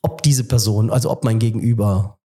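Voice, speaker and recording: A man hosting a relaxed kitchen-table podcast in a rectory kitchen. He has a head cold and thinks aloud, pausing often with fillers. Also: fairly low pitch, breathy edge. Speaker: podcast host. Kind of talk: casual conversation.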